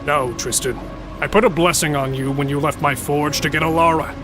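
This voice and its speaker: A man playing an irreverent smith and lore keeper explaining dark history in a fantasy audio drama. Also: fairly high pitch, steady tone, fairly quick, music in the background, lightly acted. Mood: reassuring.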